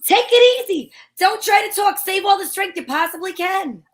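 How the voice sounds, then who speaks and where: A woman's voice: very high voice, expressive, normal speed. A woman playing a breezy, sensible, take-charge female sleuth in an old-time radio mystery, with a wry manner. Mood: urgent, grave. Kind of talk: old-time radio mystery acting with first-person narration.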